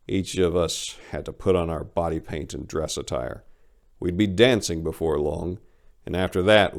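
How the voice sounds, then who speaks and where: Male story host, low register with heavy fry, dramatic and emphatic reader. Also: a little mellow. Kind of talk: dramatic story reading with casual commentary.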